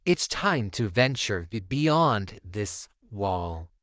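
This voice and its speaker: A man's voice: on the low side, big pitch swings, slow, fairly bright tone, no room sound, heavily acted. A man voicing a heroic, earnest toy space ranger with a solemn, resolute, declamatory manner.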